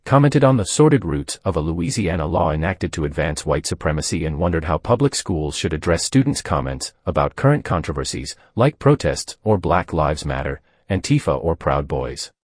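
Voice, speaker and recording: Deep husky male news narrator. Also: big pitch swings, moderate pace, hardly any pauses, no echo, studio quality. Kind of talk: scripted news narration reading an article.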